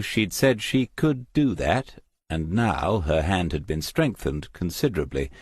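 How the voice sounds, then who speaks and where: A man with an extremely low, husky voice narrates a crime novel in a measured storytelling manner. The recording is dry and close, with very little room around the words. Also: no echo. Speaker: audiobook narrator.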